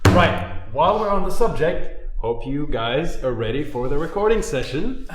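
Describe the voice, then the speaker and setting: A man's voice: fairly high pitch, very animated, normal speed, background noise. A man playing a pedantic, know-it-all music geek with pompous, precise delivery in a sitcom table read. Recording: echoey room.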